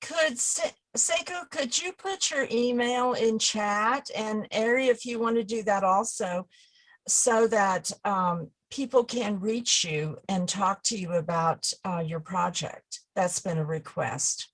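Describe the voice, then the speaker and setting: A woman helps run an online conference, making practical requests of the speakers in a casual manner. Her voice sits at a middle pitch, with a few filler sounds along the way. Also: slow pace, rough recording. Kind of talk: casual announcements.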